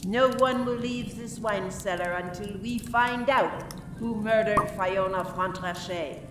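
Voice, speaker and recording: A woman playing a stern, accusing French police inspector in a radio play, questioning suspects formally and deliberately. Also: mid-range voice, distant mic, background noise, lightly acted. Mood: stern, probing. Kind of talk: community radio play acting. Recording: roomy, off-mic, music behind.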